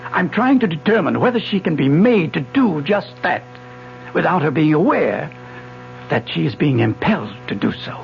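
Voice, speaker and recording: A man's voice: fairly high pitch, very animated, normal speed, gravelly. An actor playing a retired older male physics professor, obsessive and insistent, in a radio drama lecturing style. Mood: urgent. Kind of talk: 1970s radio drama acting. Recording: muffled old radio broadcast with music.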